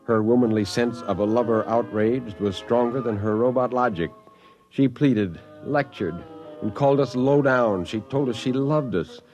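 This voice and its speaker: A man's voice: medium pitch, moderate pace, a little mellow, faint music behind, close to an everyday voice. A man playing a wry, colloquial spaceship crewman who tells his tale in a 1950s science fiction radio drama. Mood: rueful narration. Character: Gus, wry slouching male spaceship crewman telling the tale.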